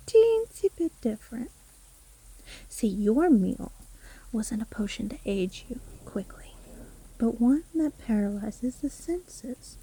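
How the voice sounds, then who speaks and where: A woman playing a sly, teasing witch storyteller in a fantasy audio roleplay, speaking in a soft, breathy, theatrical and condescending way. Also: on the high side, dry recording, rough recording. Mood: taunting, amused. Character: sly, gloating witch storyteller toying with her trapped victim.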